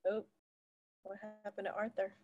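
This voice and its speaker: A woman's voice: medium pitch, little pitch movement, normal speed, darkish tone, highs cut off. A woman hosting an online event, chatting casually and moderating a video call with frequent mm-hmms. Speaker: event host.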